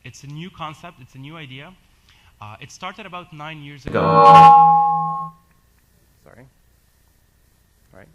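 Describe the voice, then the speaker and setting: A man's voice: fairly high pitch, lively intonation, measured pace, pauses often, fairly bright tone, slight background noise, rough recording. A man who grew up in Lebanon, a company founder giving a business presentation to an audience, earnest and hesitant, with many fillers and restarts.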